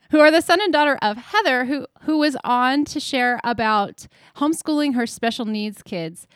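A woman's voice: on the high side, fairly bright tone, no echo, studio quality. Chatty woman in her thirties hosting. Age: 30s. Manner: chatty, upbeat, laughs often. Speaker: podcast host. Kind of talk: casual podcast intro and interview.

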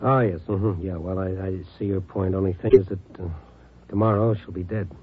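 An actor playing a world-weary, dogged American newspaper reporter, narrating and talking tersely in a 1950s radio drama. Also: male voice, deep voice, fairly even intonation, brisk, slightly rough. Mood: pressing, sardonic. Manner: terse, hard-boiled, conversational. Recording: tinny old radio broadcast, music underneath.

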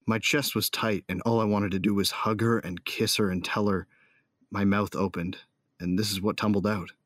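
A man's voice: fairly low pitch, little pitch movement, normal speed, no echo, clean studio sound. A man playing a passionate, lovestruck space bounty hunter, narrating intimately and earnestly in an audio drama. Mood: earnest, urgent. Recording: loud music behind the voice. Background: music.

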